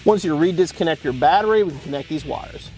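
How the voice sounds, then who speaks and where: A man's voice: fairly high pitch, fast talker, over music. A man hosting an instructional car installation demonstration, explaining step by step in plain-spoken language. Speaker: host of car installation how-to videos.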